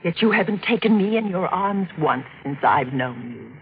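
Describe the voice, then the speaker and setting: An actress playing a cunning, passionate widowed murderess in an intense 1940s radio mystery. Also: female voice, lowish voice, animated, moderate pace, vocal fry. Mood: challenging. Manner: intense, melodramatic. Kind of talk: 1940s radio mystery acting, narrating and dialogue. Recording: muffled, noisy old radio broadcast.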